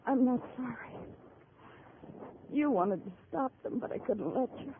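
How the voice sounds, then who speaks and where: Creaky, fading wounded widow. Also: female voice, a little high, expressive, measured pace. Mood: bitter, unrepentant. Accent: American. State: weak, faltering, near death. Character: dying, bitter, devoted gangland widow. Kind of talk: 1950s American radio drama acting. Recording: muffled, noisy old radio recording.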